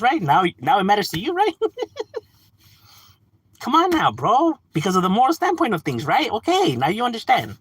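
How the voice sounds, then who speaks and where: A man's voice: a little high, slightly rough, no room sound. A man hosting a livestream, arguing casually and animatedly, calling listeners "bro" and laughing between points. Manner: animated, argumentative, addresses chat as "bro"; frequent laughs and filler "you know". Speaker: livestream host.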